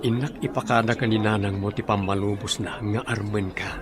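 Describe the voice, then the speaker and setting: An actor with a Filipino accent playing a courteous, well-off gentleman in a radio drama, speaking with assurance. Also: lowish voice, steady tone, fairly quick, natural voice. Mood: wooing.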